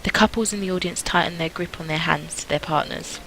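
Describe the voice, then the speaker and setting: A woman's voice: fairly low pitch, brisk, pushed and bright, soft background music, rough recording. A young adult woman reading a visual novel aloud, with casual asides as host of a gaming video.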